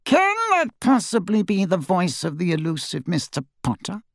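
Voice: mid-range voice, big pitch swings, moderate pace, dry recording, clean studio sound, voice pushed up. A performer voicing a cold, haughty old wizard in a portrait, with pompous, sneering, old-fashioned speech, in an audiobook. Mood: sly.

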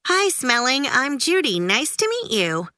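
A woman playing an excitable little girl in a storybook, her voice jumping high and squeaky with every outburst. The pranking kid swings her pitch all over the place, bright and sharp, recorded close and dry. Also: unhurried, no echo, full character voice. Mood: teasing. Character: spirited young girl who loves pranks.

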